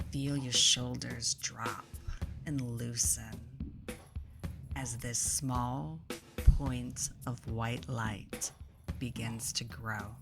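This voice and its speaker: A woman leading a guided meditation in a soothing, deliberate way, pausing between phrases, her soft voice turning breathy as phrases end. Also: very deep voice. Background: instrumental music.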